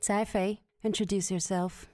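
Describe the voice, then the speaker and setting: Woman acting a cold, dark-voiced crime boss. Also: mid-range voice, level tone, unhurried, faint music behind, close to an everyday voice. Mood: cold command. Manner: curt, imperious. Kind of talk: crime audio drama acting.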